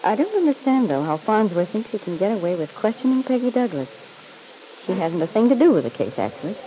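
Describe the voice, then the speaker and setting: A woman's voice: medium pitch, big pitch swings, a little fast, mellow, lightly acted, poor audio. An actress playing a catty, disillusioned woman in a vintage radio soap, arch, needling and drily amused. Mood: curious, dry. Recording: old radio recording with faint hiss.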